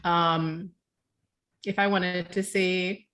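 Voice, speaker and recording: A woman's voice: on the low side, little pitch movement, very slow, a bit bright. A Trinidadian woman, a guest author, explaining her writing thoughtfully in a casual book club chat over a video call, often restarting her phrases.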